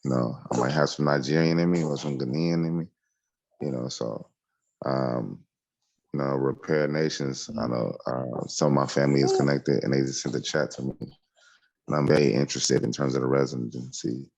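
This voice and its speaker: Very deep, gravelly man on a dull line. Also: fairly even intonation. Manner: warm, eager, halting with fillers. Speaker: caller. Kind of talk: casual chat.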